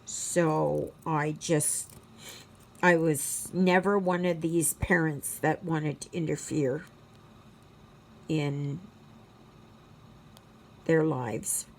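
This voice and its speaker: A Canadian woman in her sixties talks at a very unhurried pace as she stitches at home. Her voice sits low and carries a gravelly fry, and she wanders from thought to thought with plenty of ums. Now and then she drops to a quiet murmur to herself over her work. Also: steady tone, slight background noise. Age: 60s. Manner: slow, reflective, rambling with many fillers; murmurs softly to herself while working. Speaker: stitching channel host. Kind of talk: casual chat while stitching.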